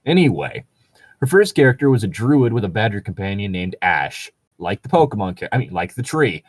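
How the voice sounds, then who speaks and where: Lowish voiced guy rambling casually, jokey, bit sweary, really dry close mic. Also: very animated, fairly quick, husky, no room sound. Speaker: co-host reading and discussing tabletop gaming stories. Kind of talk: casual chat.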